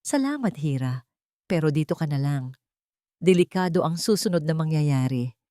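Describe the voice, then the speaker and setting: A woman's voice: low voice, expressive, normal speed, warm tone, dry recording, clean studio sound. A woman voicing a wronged, steely male tycoon in an audio drama, curt and commanding. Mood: grateful, grave.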